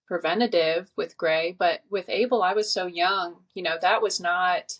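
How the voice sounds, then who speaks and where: Low, gravelly-voiced young woman. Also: fairly bright tone. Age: 20s. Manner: reflective, earnest, many fillers. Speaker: podcast guest. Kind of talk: casual podcast conversation.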